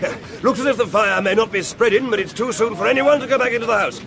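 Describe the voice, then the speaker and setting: An imperious Victorian English lord with a high male voice and upper-class accent. He is pompous, curt and short of patience, as in a period radio drama. Faint noise sits behind him. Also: fast talker, creaky, crowd noise. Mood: brisk, commanding. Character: haughty, impatient Victorian English lord of the manor.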